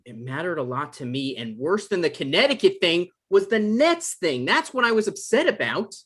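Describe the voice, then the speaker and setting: A man's voice: high voice, very animated, moderate pace, fairly bright tone, husky, full character voice. Man playing an anxious, defensive guy in his thirties. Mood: exasperated. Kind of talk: script table read, conversational comedic acting.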